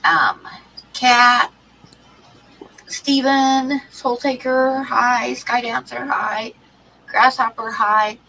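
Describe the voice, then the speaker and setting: A woman's voice: a little high, extremely slow, vocal fry, pauses often, pushed and bright, slight background noise, low-quality recording. A woman with a stuffy cold hosting an art livestream, chatting casually with many ums and restarts. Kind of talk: casual chat to live chat.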